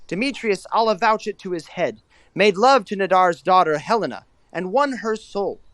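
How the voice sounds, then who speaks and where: A proud, scornful young suitor played by a man in a Shakespearean audio play. His high voice is closely and drily recorded, assertive and rhetorical. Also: animated, moderate pace, a bit bright, no echo. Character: young Athenian lover, proud, bold and scornful. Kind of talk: Shakespearean verse audio drama acting.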